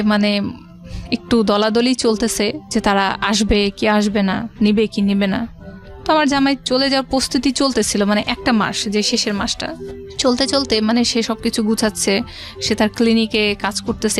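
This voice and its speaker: A middle-aged Bangladeshi woman tells a personal story as an interview guest. Her voice is on the high side, and she talks conversationally with English words mixed in. Faint music plays behind her. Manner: conversational, quotes past dialogue, mixes in English words. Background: background music.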